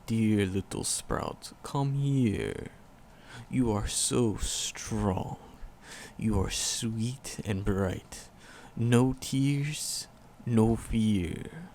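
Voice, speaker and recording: A gravelly, lowish voice of an ancient forest demon, tender, sorrowful and protective. He tells his tale very slowly and solemnly, with big swoops in pitch, in an ASMR roleplay. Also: warm tone, slight background noise, exaggerated voice. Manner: slow, solemn, archaic phrasing, tender. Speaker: voice actor in audio roleplay. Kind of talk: ASMR roleplay monologue, dramatic storytelling.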